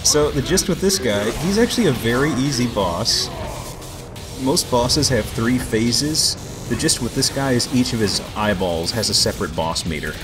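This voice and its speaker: Casting: male let's play commentator, lively pitch, jokey and explanatory, game music behind. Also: normal speed. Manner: joking, explanatory.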